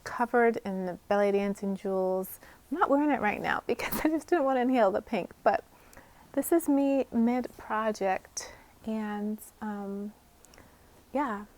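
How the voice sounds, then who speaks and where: An American woman chatting casually to camera as a craft vlogger, informal and thinking aloud as she goes. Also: on the high side.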